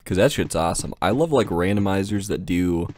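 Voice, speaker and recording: Deep-voiced man doing sweary gameplay commentary. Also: lively intonation, fairly quick, darkish tone. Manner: sweary, joking, slangy. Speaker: gaming video host.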